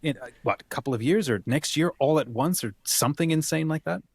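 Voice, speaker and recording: A man's voice: a little high, fairly quick, creaky, breathy edge, no echo. Emphatic man in a dry, close recording. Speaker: co-host. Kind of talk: enthusiastic casual book chat.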